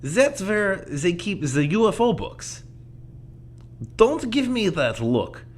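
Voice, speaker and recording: A man's voice: sits high, very animated, takes it slowly, a little hiss or hum, heavily acted. A man playing a genial little old man who loves the paranormal, speaking in an erudite, musing, theatrical way in an audio drama. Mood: eager, playful. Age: older.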